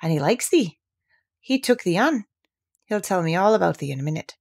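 A woman voicing a cheerful country boy with a Yorkshire accent, talking quickly and easily with good humour. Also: fairly low pitch, very animated, gravelly, darkish tone, full character voice. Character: cheerful country boy, easygoing, friendly with animals.

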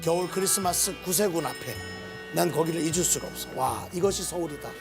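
A man in his fifties tells a story with dramatic flair, his voice fairly high. Music sits underneath. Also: a little fast. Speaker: guest. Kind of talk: storytelling on a talk show.

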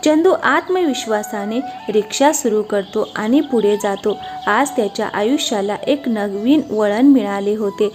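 A woman's voice: mid-range voice, measured pace, a little mellow, soft background music. A woman narrating a scripted moral story as its storyteller.

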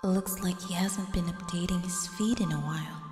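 A woman voicing a possessive, lovestruck teenage schoolgirl in an ASMR roleplay, breathy and often dropping into whispers. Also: lowish voice, normal speed, lightly acted. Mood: anxious brooding. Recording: echoey, long reverb, music behind.

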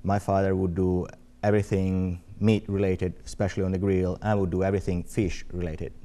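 A man's voice: low-pitched, flat delivery, warm tone. A man in his forties with an Italian accent, a guest chatting on a TV studio set, chuckling often. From Italy. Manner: chuckles often, measured and friendly. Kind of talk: casual studio chat. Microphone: lapel.

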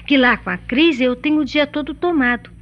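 A young, eager Brazilian country daughter acted by a woman in a radio soap. She speaks respectfully in a high voice. The sound is a little dull. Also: a little fast, close to an everyday voice. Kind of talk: radio soap opera acting.

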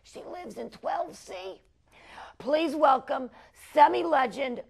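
High-voiced gushing New York hostess. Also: female voice, takes it slowly, gravelly, full character voice. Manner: effusive, melodramatic, Yiddish-sprinkled. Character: sentimental, gushing middle-aged New York talk show hostess. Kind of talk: parody talk show hosting.

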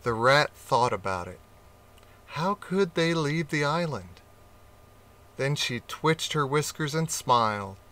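A man reading a children's animal story aloud in a slow, gentle, expressive way. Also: on the high side. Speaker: audiobook reader.

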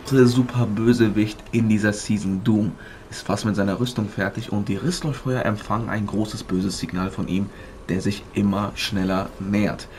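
A man's voice: on the low side, fairly even intonation, moderate pace, a little mellow, faint music behind. A man doing walkthrough commentary as a gaming video creator, explaining things step by step to viewers. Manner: explanatory, addresses viewers as friends.

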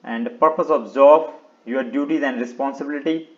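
A man presenting an unscripted step-by-step website walkthrough in short, halting instructional phrases, often repeating words. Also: mid-range voice, dull audio. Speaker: video tutorial presenter.

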